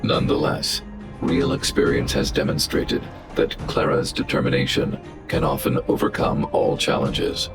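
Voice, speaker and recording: A man voicing a large, protective robot in a video game, speaking in a formal, measured, unemotional way with a gravelly quality. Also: huge pitch swings, full character voice. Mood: calm, logical. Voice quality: very deep, gravelly. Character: large protective male robot, calm, coldly logical. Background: background music.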